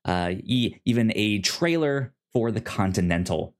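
A man's voice: lowish voice, animated, unhurried. A man hosting a podcast in a casual, chatty style, often stammering over sounds and words. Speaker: podcast host.

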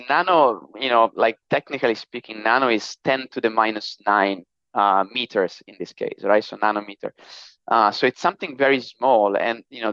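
An Italian-accented man in his thirties talks in a lower register, his voice smooth and resonant. He speaks haltingly, filling gaps with "um" and "you know". The sound is dry and a little dull, as if from a modest microphone. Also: a bit bright, no echo. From northern Italy. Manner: hesitant, many fillers and restarts. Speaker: podcast guest, technology executive. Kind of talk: casual interview talk.

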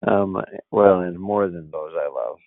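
A man in casual conversation answering hesitantly, halting with many fillers. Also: sits low, gravelly, no echo. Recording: tinny phone-like line, dry.

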